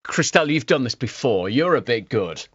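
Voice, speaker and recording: Hoarse radio guy, jokey and chatty, voice jumps up and down a lot, kinda dull lo-fi sound. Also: medium pitch, very animated, moderate pace, a bit bright, no echo. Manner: chatty and playful. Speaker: radio presenter. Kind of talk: casual radio chat.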